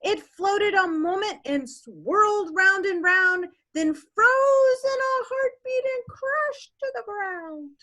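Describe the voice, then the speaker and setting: A woman's voice: very high voice, takes it slowly, few pauses. A woman co-hosting a storytime, reading a children's picture book expressively and adding chatty asides. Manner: animated, with chatty asides to young listeners. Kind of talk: expressive children's read-aloud.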